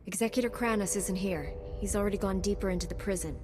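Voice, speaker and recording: An actress playing a resolute, compassionate knight heroine in a video game, composed and firm. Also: female voice, medium pitch, quick pace, lightly acted. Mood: determined. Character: resolute, compassionate female Jedi knight hero. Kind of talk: scripted video game acting. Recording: music behind the voice.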